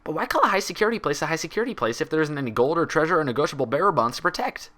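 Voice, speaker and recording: A man's voice: fairly high pitch, lively intonation, rapid-fire, sharp tone, voice pushed up. A man voicing a hyper, naive female cartoon pony with an eager, curious manner. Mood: puzzled.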